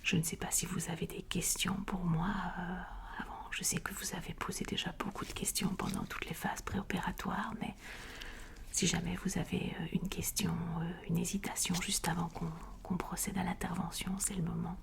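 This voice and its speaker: A woman playing a calm, attentive cosmetic surgeon in a whispered ASMR roleplay, slow, gentle and reassuring with a breathy voice. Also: on the low side.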